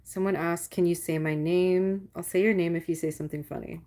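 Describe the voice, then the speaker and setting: A young adult woman with a low voice, chatting casually with viewers on a livestream, rambling and swearing with lots of "like". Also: fairly even intonation, brisk, dark tone. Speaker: livestream host.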